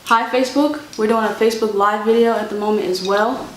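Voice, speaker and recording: A woman's voice: a little high, steady tone, brisk. A woman in her twenties from Florida chatting casually to viewers as host of a family vlog. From Florida, United States. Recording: roomy echo, faint background noise.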